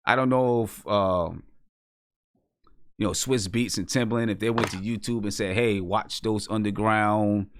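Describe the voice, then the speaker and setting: A man's voice: lowish voice, fairly even intonation, unhurried, noisy background. An American man hosting a casual live chat show, speaking conversationally and repeating phrases for emphasis. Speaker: host of a hip hop music review show.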